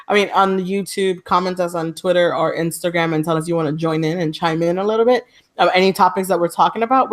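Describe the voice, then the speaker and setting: A woman co-hosting a live podcast, talking informally and inviting listeners to join in. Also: on the low side. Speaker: podcast co-host. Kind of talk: casual live-stream chat.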